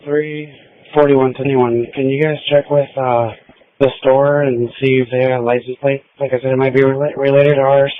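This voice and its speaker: A man's voice: medium pitch, flat delivery, normal speed. A man working as a patrol officer, calling in from the field over police radio. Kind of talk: radio call-ins from the field. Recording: noisy, tinny police scanner radio.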